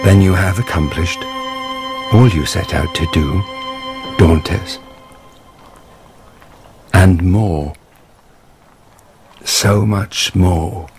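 An actor playing an unhinged, bereaved nobleman in a radio drama, mock-courteous, halting and bitter. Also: low-pitched, a little mellow, soft background music, exaggerated voice. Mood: bitter sarcasm. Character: unhinged, bitterly sardonic bereaved French nobleman, husband and father.

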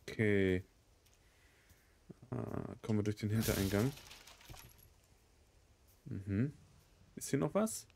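A man's voice: on the low side, lively intonation, extremely slow, gravelly, long pauses, a little mellow, slightly rough. A man in his thirties with a cold, giving casual, sweary gameplay commentary as a let's play host. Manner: sweary, muttering reactions to the game. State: has a cold, coughing.

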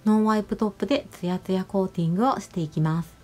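A woman nail artist narrating a tutorial voice-over, explaining each step politely and giving gentle advice. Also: mid-range voice, fast. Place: voice-over over close-up salon footage. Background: music.

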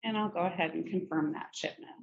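A middle-aged woman presenting a software demo in a conversational, informal step-by-step tutorial style. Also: on the low side, fast talker, vocal fry. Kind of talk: conversational screen-share tutorial. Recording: muffled, noisy screen-recording audio.

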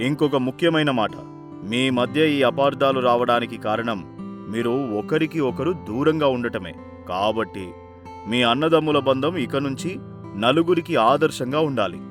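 A man's voice: mid-range voice, natural voice. A man playing an authoritative, caring older village father who instructs and scolds in a cartoon moral story. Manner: firm, instructive. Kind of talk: animated moral-story voice acting.

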